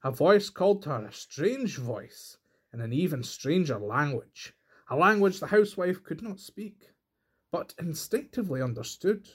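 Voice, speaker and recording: A man's voice: fairly high pitch, expressive, normal speed, husky. A man reading a folk tale aloud as storyteller, in scripted narration.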